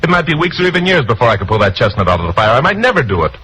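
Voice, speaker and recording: A man's voice: mid-range voice, big pitch swings, racing, breathy edge, full character voice, poor audio. An American actor playing a hard-boiled, wary male newscaster in a 1950s radio drama, on an old recording. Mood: indignant. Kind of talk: 1950s American radio drama acting, first-person narration. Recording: old radio recording under loud music.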